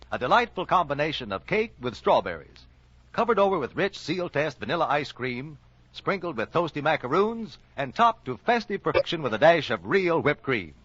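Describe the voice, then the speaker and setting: A man's voice: on the high side, a bit bright, slightly rough, dry recording. An American man reading scripted sponsor copy as an announcer on a 1940s radio broadcast. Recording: dull vintage 1940s radio recording, faint music.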